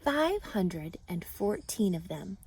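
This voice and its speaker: A woman voicing a gentle, wise, aging female spider in a storybook, weak and soft, speaking in measured, articulate phrases. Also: mid-range voice, very animated, breathy edge, exaggerated voice. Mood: weary pride.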